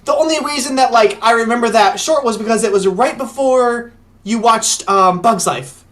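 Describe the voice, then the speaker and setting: A man in his late twenties with a notably high voice talks as a podcast co-host. His delivery is animated and exclamatory, full of joking asides in loose, casual banter. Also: normal speed.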